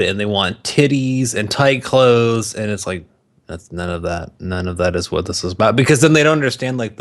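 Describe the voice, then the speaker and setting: A man co-hosting a podcast in casual, opinionated banter, swearing freely and talking in sweeping exaggerations. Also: medium pitch. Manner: profane, hyperbolic. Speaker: podcast co-host.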